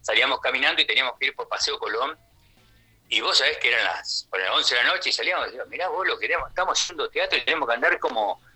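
Bright-voiced older Argentine man reminiscing. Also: medium pitch, lively intonation, very fast, breathy edge, low-quality recording. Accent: Rioplatense Argentine. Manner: rambling, chatty, frequent question tags. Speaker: theatre actor and radio guest. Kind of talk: conversational radio reminiscence.

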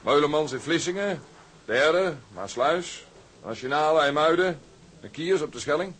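Tentative seafaring officer, period drama, raised pitch, faint background noise. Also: male voice, lively intonation, normal speed, fairly bright tone, rough recording. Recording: clear background ambience noise.

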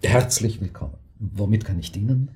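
An actor in a radio drama playing a courteous, discreet hotel receptionist with a formal manner. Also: male voice, on the low side, normal speed, warm tone, natural voice.

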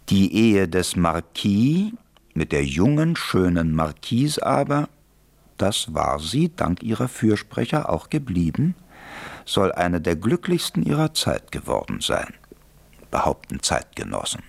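A man's voice: lowish voice, vocal fry, full character voice. A man playing an urbane narrator in a radio play, telling the story with dry irony. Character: wry male narrator, urbane and ironic.

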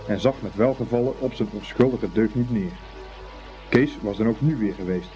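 Guy telling a story in an old radio play, soft mellow voice, dull recording, faint music. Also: on the low side. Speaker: narrator.

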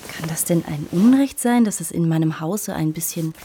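An actress playing a scheming, seductive mistress in a German radio drama, flattering and demanding, with a husky voice that turns breathy. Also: female voice, sits low, moderate pace, a little hiss or hum, lightly acted. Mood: coaxing, reproachful.

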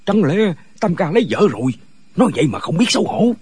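A man voices a brash wuxia busybody, always needling. His voice is very raspy and fairly high for a man, jumping around in pitch. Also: big pitch swings, fast talker, darkish tone, no room sound, exaggerated voice. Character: brash, nosy, quarrelsome martial-arts man, needling others. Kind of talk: dramatized wuxia audiobook dialogue.

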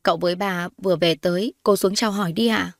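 A woman's voice: fairly low pitch, fairly even intonation, quick pace, no room sound, close to an everyday voice. Woman voicing household nanny, motherly, kindly, reassuring, respectful, audio story, dry recording. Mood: calling, respectful. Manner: comforting, respectful.

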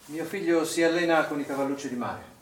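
A man's voice: a little high, fast talker, lightly acted. An actor playing a suspicious, confrontational father in a naturalistic stage drama, speaking in an insistent, accusatory, curt way. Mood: cold, probing. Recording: roomy, distant, noisy stage recording.

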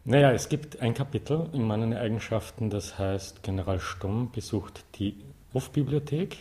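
A man with an Austrian accent answering interview questions conversationally, in a wry, playfully deadpan manner. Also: fairly low pitch, moderate pace. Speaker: interview guest, artist and self-appointed librarian.

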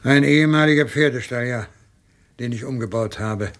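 An actor playing an older, eccentric colonel in a radio crime drama, genial and boastful, savouring his words in a theatrical, deliberate manner. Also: mid-range voice, a bit bright, natural voice. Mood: genial, urbane.

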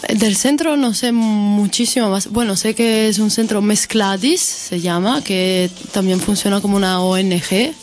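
A woman from Italy, a guest musician on a radio interview, speaking in an unhurried, thoughtful way with hesitations. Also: mid-range voice, soft background music.

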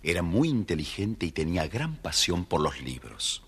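Deep, animated male storyteller. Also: normal speed, slightly rough. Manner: theatrical, addressing the listeners. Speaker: storyteller narrator. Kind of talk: scripted children's story narration.

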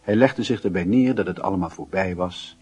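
An actor as a reflective narrator, reading literary narration for a Dutch radio play in a husky voice. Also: male voice, sits low, fairly quick, a little mellow, soft background music.

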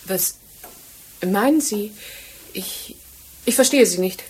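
An actress playing a cornered woman suspect in a German radio crime drama, halting and evasive as she feigns innocence. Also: mid-range voice, lively intonation, darkish tone, a little hiss or hum, low-quality recording. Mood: shocked, flustered.

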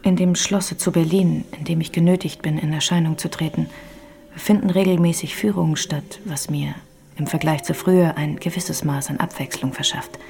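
Hushed, melancholy ghost woman, low-voiced. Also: fairly even intonation, normal speed, creaky. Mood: wistful, solemn. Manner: formal, archaic, solemn diction. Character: melancholy female ghost haunting a castle for centuries. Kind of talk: radio drama acting.

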